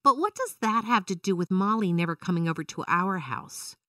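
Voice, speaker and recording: A woman's voice: lowish voice, expressive, brisk, sharp tone, no echo, clean studio sound, distant mic. A woman voicing a curious, blunt young girl in a children's story, questioning and incredulous. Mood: puzzled.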